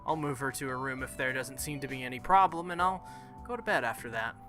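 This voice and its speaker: Man reading as a hot-headed, bright-voiced young man. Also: mid-range voice, fast, faint music behind, close to an everyday voice. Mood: earnest, tense.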